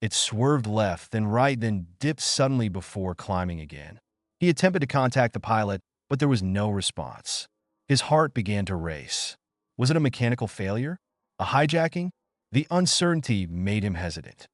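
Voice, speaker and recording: A man's voice: lowish voice, no echo, clean studio sound. A man reading a scripted story in a dramatic, suspenseful narrator's style.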